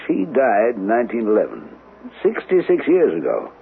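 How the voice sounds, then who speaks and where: An actor playing a thoughtful, skeptical, plain-spoken male friend in a radio drama, measured and reflective. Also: on the low side, huge pitch swings, gravelly, breathy edge, exaggerated voice. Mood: skeptical, pondering. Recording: tinny band-limited radio recording with hiss.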